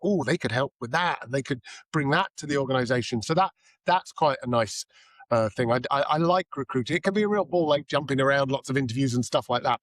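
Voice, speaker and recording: A hoarse man with a cold co-hosts a tech podcast and rambles along in a casual, self-deprecating way. His voice is mid-range, and the recording is dry and close. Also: mid-range voice, no room sound. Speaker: podcast co-host.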